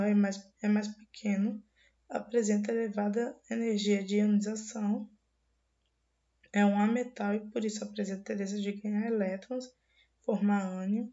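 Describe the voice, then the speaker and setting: A hoarse young woman with a cold gives a student class presentation, her voice dark and mellow. She reads steadily from slides in a flat, even tone, often restarting words. The recording is dull and lo-fi. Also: medium pitch, normal speed. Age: young adult. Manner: reading slides with frequent restarts.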